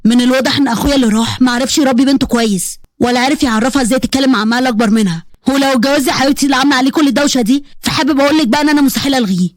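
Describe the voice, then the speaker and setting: A woman playing a domineering older uncle, fast-talking. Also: high-pitched, no room sound, natural voice. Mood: stern, insistent.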